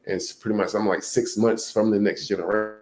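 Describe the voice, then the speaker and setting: Man, early 40s, low voice; loose, profane, rambling vlog delivery. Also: fairly even intonation, fast, a little mellow, slight background noise. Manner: rambling, frank, profane, many fillers. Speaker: vlogger. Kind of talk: casual monologue.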